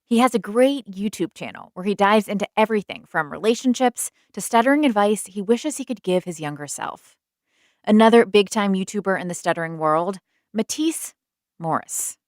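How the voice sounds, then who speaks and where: A woman hosting a podcast, asking guests gentle, searching questions and introducing clips. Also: medium pitch, animated, normal speed, no room sound, studio quality. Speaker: podcast host. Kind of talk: interviewing and narrating.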